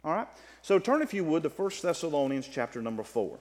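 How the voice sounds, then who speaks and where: A man preaching a sermon, teaching from the Bible in an emphatic way that swings between loud and soft. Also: fairly high pitch, big pitch swings, a little fast. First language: English. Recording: long reverb of a hall.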